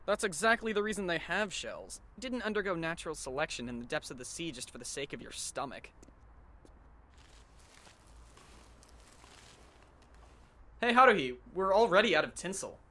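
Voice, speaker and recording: A man playing a sardonic, weary male high school student who narrates and talks dryly in an anime fan dub. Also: sing-song, quick pace, hardly any pauses, pushed and bright. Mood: objecting. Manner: dry, wry, long-suffering.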